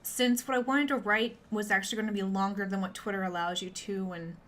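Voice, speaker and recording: A woman's voice: mid-range voice, steady tone, brisk, fairly bright tone. Nasal, stuffy-nosed young woman chatting. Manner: chatty, candid, laughs at herself. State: stuffy nose, sounds nasal. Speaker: gamer and streamer. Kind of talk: casual chat to viewers. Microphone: webcam.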